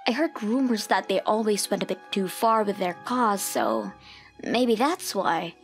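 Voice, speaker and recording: A woman's voice: medium pitch, measured pace, close to an everyday voice. A woman playing an expectant mother who narrates her own past in a scripted comic dub, over music. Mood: recounting, troubled.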